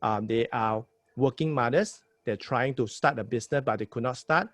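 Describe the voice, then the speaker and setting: A man's voice: mid-range voice, moderate pace, dry recording. A man from Singapore with a Singaporean English accent, giving casual advice as a podcast host and punching points with repeated "right?" tags. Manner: emphatic, repeats words, tag questions like "right?". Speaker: podcast host advising a new host.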